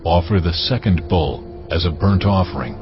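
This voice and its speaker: A man's voice: low voice, close to an everyday voice. An actor playing God in a dramatised audio Bible, a solemn male deity who speaks with weight and command. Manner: slow, weighty, deliberate delivery. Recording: muffled audio under music.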